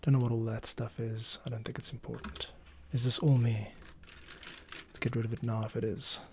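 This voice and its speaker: A man's voice: mid-range voice, little pitch movement, moderate pace, fairly bright tone, slight background noise. Middle-aged man muttering over keyboard clicks. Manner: muttering to himself, thinking aloud, drifting into near-whispers. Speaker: podcast editor and host. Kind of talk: casual live-stream commentary while editing audio. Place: small home studio room, indoors.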